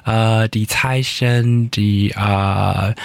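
A man with a Taiwanese accent talks slowly in a lowish voice as a radio interview guest. Taiwanese Hokkien is his first language, and his speech halts often on fillers and restarts. The studio sound is dry and close. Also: fairly even intonation, vocal fry, no room sound. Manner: hesitant, many fillers and restarts.

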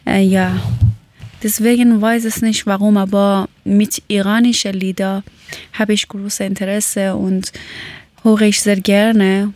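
A woman, chatting casually and often pausing to search for words. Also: medium pitch, little pitch movement, darkish tone, no room sound. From Afghanistan. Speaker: conversation partner. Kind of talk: casual chat in learner German.

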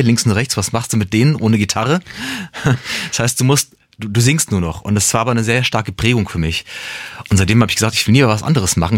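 A man's voice: fairly low pitch, no room sound. Reflective man with a dry, close sound. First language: German. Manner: reflective, rambling with restarts and laughs. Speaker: singer-songwriter guest. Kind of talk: casual interview talk.

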